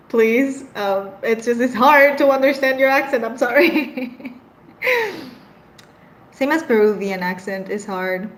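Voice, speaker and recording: Venezuelan woman chatting on a live stream. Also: on the high side. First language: Spanish. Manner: chatty, laughs often, trails off softly. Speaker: streamer answering live chat. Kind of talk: casual live-stream chat.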